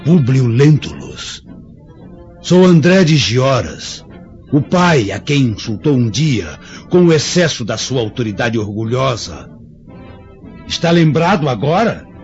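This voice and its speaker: An actor playing a cunning, vengeful, frail old resistance chief in a radio drama, gloating with menacing mock courtesy. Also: male voice, mid-range voice, big pitch swings, slow, darkish tone. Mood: bitter, triumphant. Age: older. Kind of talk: theatrical radio drama acting. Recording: dull lo-fi radio drama, music behind.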